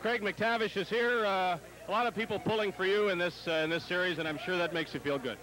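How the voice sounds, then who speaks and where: A middle-aged man doing live post-game interviews as a sports broadcaster, pausing often, on a handheld mic in a locker room. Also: sits high, sharp tone, low-quality recording. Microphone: handheld microphone.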